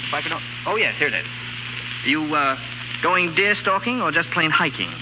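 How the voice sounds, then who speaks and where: Casting: man acting as skeptical, gallant gentleman, highish voice with lively swings, 1930s radio drama on a muffled, noisy recording. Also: natural voice. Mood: reassuring, amused. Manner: wry, brisk, polite. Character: gallant, skeptical young gentleman, wry and reassuring.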